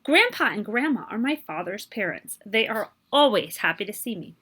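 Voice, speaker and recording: A woman reading a children's picture book aloud in an expressive way, pausing to explain and translate Spanish words. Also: mid-range voice, husky.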